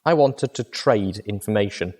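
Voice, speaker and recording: Male audiobook reader, low voice, steady first-person narration. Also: moderate pace. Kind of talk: fan fiction audiobook narration.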